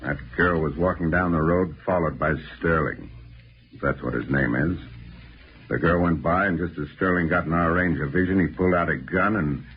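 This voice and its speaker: Deep-voiced sardonic American tough detective played by a man. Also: moderate pace, close to an everyday voice. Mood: defiant, wry. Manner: blunt, defiant, wisecracking. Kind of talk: 1940s American radio drama acting. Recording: dull, noisy old radio recording.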